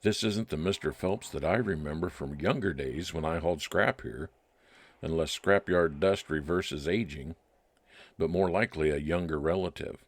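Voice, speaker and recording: A man playing a wry, nostalgic private detective, narrating his case deliberately in old time radio style. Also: rumbling low, no echo. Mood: reflective. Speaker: narrator and lead actor.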